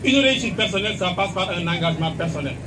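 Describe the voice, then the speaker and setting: Man, school official, lecturing pupils; high voice, distant mic, loud background noise. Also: lively intonation, quick pace. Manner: didactic, repeats key points, asks rhetorical questions. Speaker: school official addressing students. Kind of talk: speech to students at a school event.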